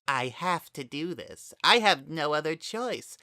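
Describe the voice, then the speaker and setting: A narrator voicing a menacing, fervent child spirit that wears a monster's skin, speaking in a slow, theatrical, hollow, coaxing monologue for a dramatic story reading. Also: medium pitch, expressive, pushed and bright, dry recording, clean studio sound, pitched above the performer's own voice. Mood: grave, fervent. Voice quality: maddened, hollow tone. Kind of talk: dramatic reading of fan fiction.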